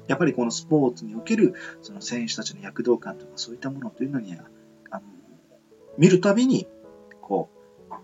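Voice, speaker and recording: Lively-pitched man hosting on dull lo-fi radio audio. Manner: hesitant, searching for words, politely earnest. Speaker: radio host. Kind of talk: rambling reflective monologue for an amateur radio show.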